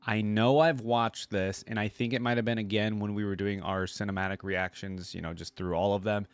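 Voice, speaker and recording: A man's voice: on the low side, steady tone, a little fast, clear, resonant tone, no room sound. A man hosting a reaction video, talking informally and thinking aloud as he comments and reads text out. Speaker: video host reacting to game lore.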